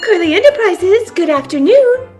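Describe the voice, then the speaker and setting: A woman's voice: very high voice, animated, normal speed, over music. A woman playing a polite, efficient young secretary in an old-time radio play. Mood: polite, businesslike.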